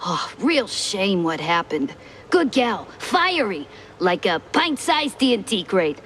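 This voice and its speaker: A woman voicing a brash, excitable explosives worker from a film lot in a cartoon noir game, chatty and slangy. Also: medium pitch, slow pace, a little hiss or hum. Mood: wistful, chatty. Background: music.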